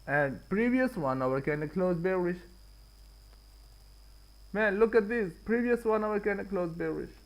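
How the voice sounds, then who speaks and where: A man's voice: high-pitched, lively intonation, a little slow, long pauses, poor audio. A man with a Pakistani accent hosting a casual live trading stream, repeating and rephrasing his points for emphasis. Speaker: live-stream forex trader and host.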